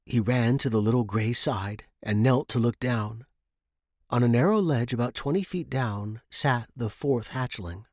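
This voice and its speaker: A man's voice: fairly low pitch, slightly rough, no echo, clean studio sound. A man narrating a scripted adventure story as a reader of fiction.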